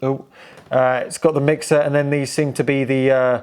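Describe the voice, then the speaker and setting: A man's voice: mid-range voice, little pitch movement, moderate pace, a little mellow, clear, resonant tone. A man giving a casual, unscripted walkthrough of old equipment, thinking aloud hesitantly with playful, informal slang. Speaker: presenter showing and restoring old technology.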